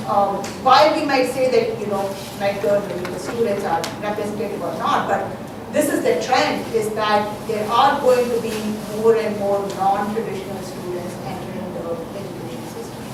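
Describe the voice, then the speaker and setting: A woman lecturer giving an informal conference presentation in a conversational, explanatory way, often saying "you know". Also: mid-range voice. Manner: conversational, explanatory, frequent 'you know'. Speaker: college business lecturer presenting. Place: large echoey room, recorded from afar. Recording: echoey room, distant mic, noisy.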